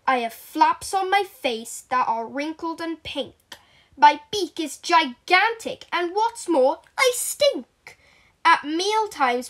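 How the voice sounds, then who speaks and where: A woman's voice: high-pitched, a bit bright, heavily acted. A woman voicing a bald, smelly vulture who boasts in rhyme. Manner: boastful, rhyming.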